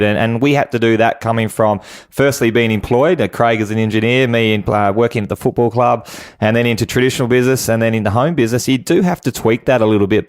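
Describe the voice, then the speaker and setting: Earnest man, mid-pitched motivational talk. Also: darkish tone. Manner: earnest, exhorting. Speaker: guest business coach.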